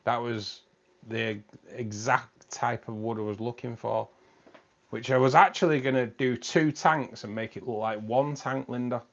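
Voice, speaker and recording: A man's voice: mid-range voice, steady tone, measured pace, fairly bright tone, lo-fi sound. A man hosting a live stream from a room with fish tanks, chatting casually and rambling, often repeating himself.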